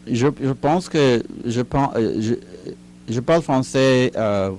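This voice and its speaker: An older retired man, a guest in a studio interview, answering slowly with many fillers and restarts. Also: medium pitch, darkish tone, slight background noise. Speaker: guest, retired teacher and writer. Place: recording studio.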